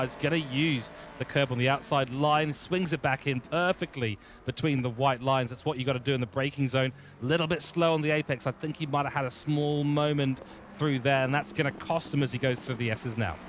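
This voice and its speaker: A man's voice: mid-range voice, fairly even intonation, fairly quick. A man doing live motor racing commentary in an informal, playful way. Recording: clear vehicle noise behind voice.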